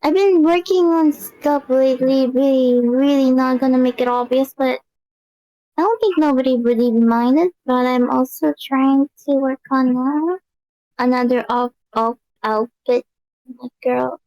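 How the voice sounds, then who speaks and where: A woman's voice: unhurried, mellow, no room sound, low-quality recording. A young woman chatting casually on a live stream, rambling and giggling often. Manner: giggly, rambling, high-pitched.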